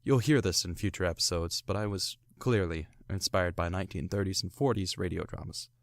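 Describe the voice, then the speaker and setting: Casual man with a low, gravelly creak. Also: a little fast, no room sound, clean studio sound. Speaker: host of an audio drama podcast. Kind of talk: casual spoken introduction. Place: small soundproofed recording booth.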